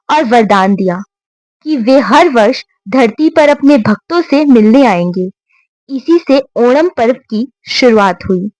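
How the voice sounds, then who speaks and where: A woman narrating scripted devotional stories in a measured, reverent and formal manner. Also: on the high side, no room sound, poor audio. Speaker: storyteller.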